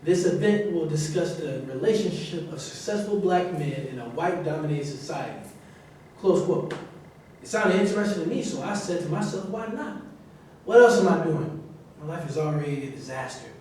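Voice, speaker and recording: A man's voice: fairly high pitch, lively intonation, normal speed, darkish tone, close to an everyday voice. A man playing a defensive, disgraced football star turned actor in a staged reading. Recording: echoey room, far mic, faint noise.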